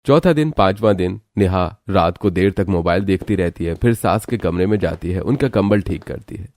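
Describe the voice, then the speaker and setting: A man's voice: low-pitched, normal speed, a little mellow, no echo, clean studio sound. A man narrating a scripted cartoon family story.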